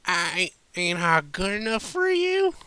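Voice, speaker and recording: A man's voice: sits high, sing-song, slow, bright, forceful tone, clean tone, dry recording, pitched above the performer's own voice, full character voice. A man voicing a stubborn, earnest, drunken female country pony in a storybook, with a slurred American Southern drawl and folksy, blurting manner. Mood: hurt, earnest. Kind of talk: fan fiction audiobook character voice.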